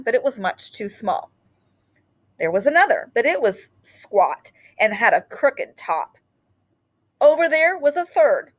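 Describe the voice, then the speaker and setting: A woman reading a children's picture book aloud in an expressive storytelling style. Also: medium pitch.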